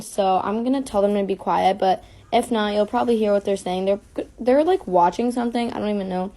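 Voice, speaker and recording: A woman's voice: mid-range voice, dark tone. A young woman doing a casual, unscripted voice-over for cleaning videos, rambling and interrupting herself.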